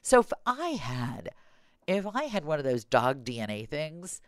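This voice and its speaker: A woman's voice: low-pitched, big pitch swings, unhurried, dry recording, clean studio sound. A middle-aged woman podcast host telling humorous stories in casual chat, with a wry manner given to playful exaggeration.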